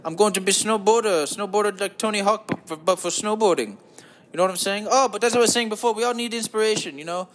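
Man putting on a high, campy German guy. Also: quick pace, natural voice. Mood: upbeat, rambling. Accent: exaggerated German.

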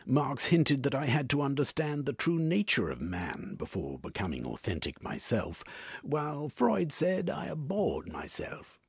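A man voicing an anxious, overwrought gentleman in an audiobook, with a dramatic, exclamatory way of talking. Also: medium pitch, wildly swooping pitch, a little slow, gravelly, breathy edge, no room sound, clean studio sound, voice pushed up, exaggerated voice. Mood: troubled, earnest. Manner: dramatic, exclamatory, with trailing 'eh?'. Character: Velvin, anxious, overwrought gentleman confessing his dreams. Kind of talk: audiobook character acting.

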